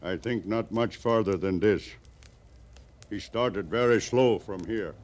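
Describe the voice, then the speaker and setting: A man's voice: lowish voice, slow. An actor playing a weary, proud Cheyenne band leader and bereaved father in a radio western, speaking solemnly in formal, simple phrases. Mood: calm, watchful. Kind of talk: 1950s radio western acting. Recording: noisy vintage radio western recording.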